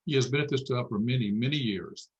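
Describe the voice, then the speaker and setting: A man's voice: mid-range voice, fast talker, highs cut off. A man narrating stage directions matter-of-factly at a screenplay table read.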